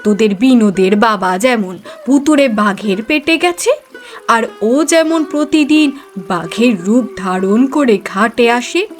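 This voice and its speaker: A woman voicing an ominous older village aunt in a cartoon, scolding and emphatic as she gives warnings. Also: fairly high pitch. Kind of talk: animated cartoon storytelling.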